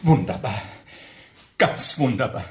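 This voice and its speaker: Male actor as effusive client, animated mid-range voice, profuse thanks, brisk generosity, radio play. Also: medium pitch, slight background noise, close to an everyday voice. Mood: gushing. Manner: gushing, brisk.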